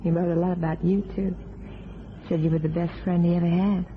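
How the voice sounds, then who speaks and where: An actress playing a weary, guarded widowed waitress, blunt and clipped, in a crime radio play. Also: female voice, lowish voice, flat delivery, a little fast, lightly acted. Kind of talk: old-time crime radio play acting. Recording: dull, noisy old radio recording.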